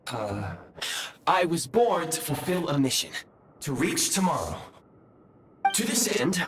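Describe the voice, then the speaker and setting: A man's voice: fairly high pitch, background noise. A man voicing an earnest, formal, newly awakened ancient robot guide in a video game, with precise, dutiful phrasing. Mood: confused, searching. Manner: formal, precise phrasing with halting self-corrections. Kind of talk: scripted game voice acting. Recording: background music under the voice.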